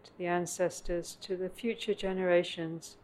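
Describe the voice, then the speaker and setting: A woman meditation teacher leading a guided meditation in contemplative, measured phrases with long pauses, recorded live at a meditation center. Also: fairly low pitch, flat delivery, darkish tone, low-quality recording. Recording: clear background noise, live room ambience.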